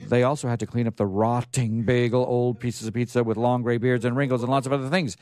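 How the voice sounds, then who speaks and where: A man's voice: mid-range voice, flat delivery, quick pace, a little mellow. A man hosting a radio show, reading a story aloud live in a casual way and stumbling now and then into asides.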